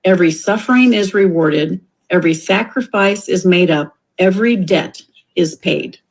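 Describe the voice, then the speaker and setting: A woman reading scripted audiobook commentary in a deliberate, reflective way. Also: on the low side, rough recording.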